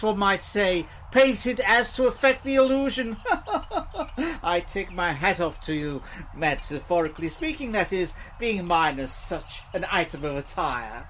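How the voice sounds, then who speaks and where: An actor playing a foolish, flattering, long-winded man in a comedy audio drama, speaking in a pompous, muddled way. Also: high voice, slight background noise.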